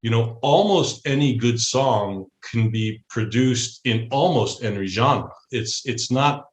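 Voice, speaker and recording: A man's voice: medium pitch, animated, takes it slowly, echoey room. A man in his fifties talking casually and reflectively as an interview guest, working through his thoughts as he goes. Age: 50s. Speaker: musician and songwriter, interview guest.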